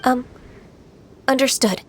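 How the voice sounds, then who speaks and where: Gentle, earnest young immortal apprentice. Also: female voice, high-pitched, slow pace, slight background noise. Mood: meek, hesitant. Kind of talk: video game character acting.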